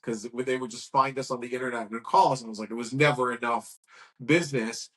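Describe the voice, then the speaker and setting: A man in his thirties, a guest in a casual interview, talking in a frank, rambling way and telling lively stories. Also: mid-range voice, quick pace. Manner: rambling, frank, lively storytelling with acted quotes. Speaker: guest, agency owner.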